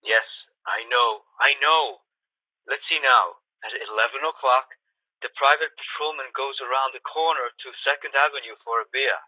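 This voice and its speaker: A man's voice: mid-range voice, normal speed, dry recording. A man playing a matter-of-fact, obliging, cold-blooded killer in a radio thriller, speaking through a telephone filter. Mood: calm, businesslike. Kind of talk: 1940s radio thriller acting. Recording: dry, thin, band-limited telephone line.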